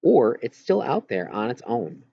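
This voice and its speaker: A man reading an audiobook, voicing an earnest boy who eagerly explains things and has a quietly wistful streak. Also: mid-range voice, huge pitch swings, a little slow, creaky, highs cut off, slight background noise, full character voice. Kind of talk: audiobook character dialogue.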